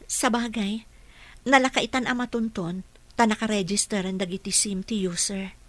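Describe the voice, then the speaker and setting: A woman's voice: medium pitch, steady tone, moderate pace, natural voice. An actress playing a worried, practical working mother in a radio drama, earnest and respectful in manner. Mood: uneasy. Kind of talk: Ilocano radio drama acting.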